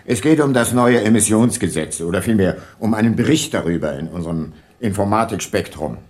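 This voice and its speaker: A man's voice: fairly low pitch, expressive, a little fast, a little mellow. An actor playing an inquisitive man posing as a journalist in a radio play, polite and probing. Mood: polite, curious. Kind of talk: German radio play acting.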